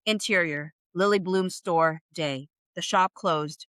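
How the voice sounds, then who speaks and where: A woman with a bright, clear-edged voice in a very dry, close sound. She is expressive and earnest, moving between dramatic script reading and casual, emotional reaction. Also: lowish voice, takes it slowly, no room sound. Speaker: host reading a screenplay aloud and reacting.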